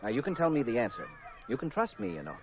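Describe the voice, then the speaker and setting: An actor playing an affable, sly married detective in a 1950s radio mystery, easygoing and given to mock solemnity. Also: male voice, mid-range voice, big pitch swings, quick pace, close to an everyday voice. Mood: friendly coaxing. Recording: muffled vintage radio recording.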